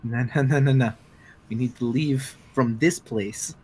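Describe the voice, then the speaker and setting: A man's voice: medium pitch, measured pace, a little mellow, breathy edge, low-quality recording. A man playing a panicky, confused character fighting his craving, pleading urgently and repeating himself in a tabletop roleplay. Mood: fearful pleading.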